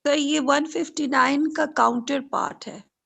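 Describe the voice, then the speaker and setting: A woman's voice: high voice, steady tone, measured pace, creaky, fairly bright tone. A woman student speaking up in an informal class discussion.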